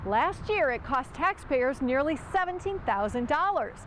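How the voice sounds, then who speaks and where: A woman's voice: sits high, sing-song, normal speed, slight background noise. A woman with an American accent delivering a scripted TV news report as an investigative reporter, with pointed questions.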